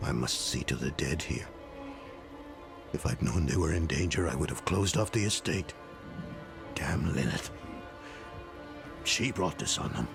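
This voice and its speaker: Older demon-slaying nobleman, grave, reflective, creaky voice, fantasy game drama, musical backing. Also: a bass voice, animated, a little slow, long pauses, music in the background, full character voice. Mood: bitter, grim. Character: brooding, world-weary older nobleman and former demon slayer.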